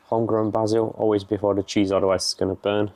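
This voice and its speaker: A young man with a Bulgarian accent, casually explaining as he cooks outdoors in a garden, relaxed and matter-of-fact. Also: lowish voice, fast. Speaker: vlogger. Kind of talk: casual explaining while cooking.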